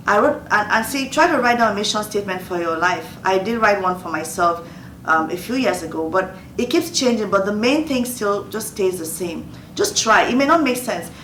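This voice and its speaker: A woman's voice: mid-range voice, fairly bright tone. Nigerian woman in her fifties, an earnest host who repeats key phrases, recorded in a roomy space with the mic set well back. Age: 50s. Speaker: host giving advice. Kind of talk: motivational Q&A talk. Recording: roomy distant mic, faint noise.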